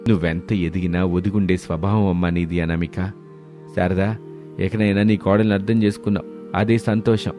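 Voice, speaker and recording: A fairly low older male voice acted by a man, kindly and wry. The father-in-law speaks plainly and approvingly in a storybook drama over soft music. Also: little pitch movement, normal speed, close to an everyday voice. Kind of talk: dramatised Telugu moral story.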